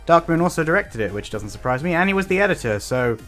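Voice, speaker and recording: A man's voice: fairly high pitch, very animated, fast, a bit bright, faint music behind. A man hosting a reaction video with casual commentary.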